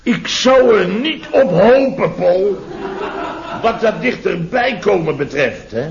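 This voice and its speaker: An actor playing a blustering, sarcastic contractor boss in a radio comedy, theatrical and full of rhetorical tags. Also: male voice, sits high, animated, slow, vocal fry, crowd noise, exaggerated voice. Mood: scornful. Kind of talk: late-1960s Dutch radio comedy acting. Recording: muffled vintage radio recording, audience behind.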